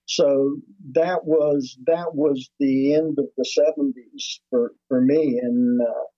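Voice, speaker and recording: A man's voice: medium pitch, fairly even intonation, slow pace, warm tone, no echo, lo-fi sound. A man in his seventies, a musician and producer, telling his story in a casual interview with many restarts and fillers. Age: 70s.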